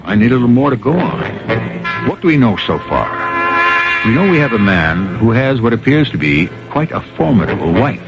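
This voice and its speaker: A man hosting a radio mystery drama with scripted narration, measured, wry and rhetorical. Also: lowish voice, sing-song. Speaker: host and narrator of a mystery anthology. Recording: dull radio recording with music.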